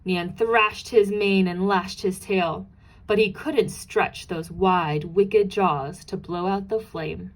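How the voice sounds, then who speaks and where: A woman's voice: mid-range voice, fairly even intonation, slow, low-quality recording. A woman narrating a children's storybook aloud, expressively, with dramatic emphasis on key and repeated words.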